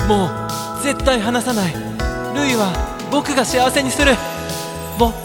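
A man's voice: extremely high pitch, unhurried. A man acting as an earnest, lovestruck young man in a romance film, pleading fervently. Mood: desperate devotion. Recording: reverberant, with loud music over voice.

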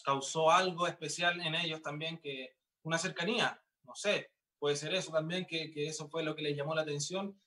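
A man's voice: a little high, sharp tone. A young man, a singer chatting in an interview, upbeat and full of fillers and restarts. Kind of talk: casual interview chat.

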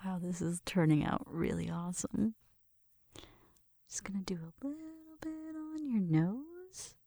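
A woman performs a shy, affectionate female roommate in an ASMR roleplay. Her voice is breathy and mostly whispered, with some creak at the edges. She talks slowly and haltingly, in a tender, flirtatious way. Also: mid-range voice, mellow, no room sound. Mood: softly focused. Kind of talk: intimate ASMR roleplay addressed to the listener.

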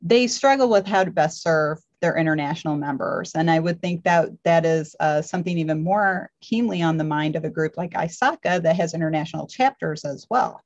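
A woman's voice: deep voice, fairly quick, few pauses. Articulate woman interviewer, slightly muffled. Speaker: interviewer host. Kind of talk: conversational interview. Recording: slightly dull sound.

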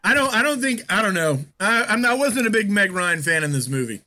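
A man co-hosting a film review chat, animated and jokey. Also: high voice, a little fast. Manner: animated, jokey, acts out scenes in mock voices. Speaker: podcast co-host reviewing a film. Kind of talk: casual chat.

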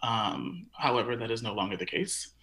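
A man's voice: medium pitch, lively intonation, normal speed, crowd noise. A young adult man, a dancer, answering interview questions casually, with lots of likes and self-deprecating asides. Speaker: dancer, guest.

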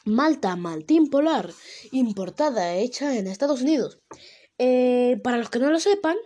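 A woman's voice: on the high side, lively intonation, a little slow, mellow. A young woman from Venezuela with a Venezuelan accent, chatting casually on her own and rambling with many fillers and self-corrections. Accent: Venezuelan Spanish. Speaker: vlogger. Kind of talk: casual solo talk to camera.

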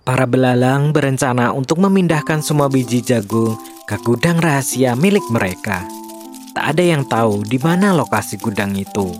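A man's voice: mid-range voice, animated, moderate pace, soft background music, close to an everyday voice. A man playing a clever, polite young captive who tells stories earnestly in a children's storybook drama. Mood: storytelling.

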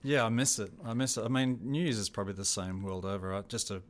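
A man's voice: fairly low pitch, level tone, fast. A middle-aged man with an Australian accent, a theater technical director chatting casually as a radio guest, laughing often and restarting his phrases.

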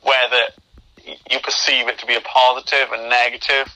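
Bright-toned young man who stammers. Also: mid-range voice, flat delivery, a little fast, poor audio. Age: young adult. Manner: stammers, with repeated starts and blocked words. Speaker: podcast guest. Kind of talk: interview conversation.

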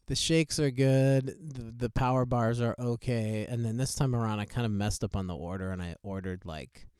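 A man's voice: mid-range voice, moderate pace, darkish tone, smooth, no echo, studio quality. A man co-hosting a casual podcast, rambling with many fillers and restarts. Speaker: podcast co-host.

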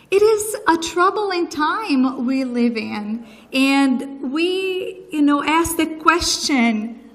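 A woman with a Brazilian Portuguese accent preaches as a guest, earnest and unhurried, often pausing on fillers. Her voice sits high, and her words come slowly. A long reverb trails after each phrase. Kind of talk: sermon.

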